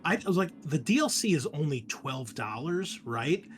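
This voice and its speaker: A man's voice: fairly high pitch, expressive, moderate pace. A man hosting and running a tabletop game in a casual, enthusiastic, sweary, conversational way. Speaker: game master and host.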